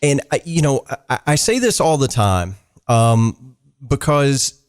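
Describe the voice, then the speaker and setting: A middle-aged man hosting a podcast, framing thoughtful questions in a halting way with restarts. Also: medium pitch, animated. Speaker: podcast host. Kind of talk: podcast interview.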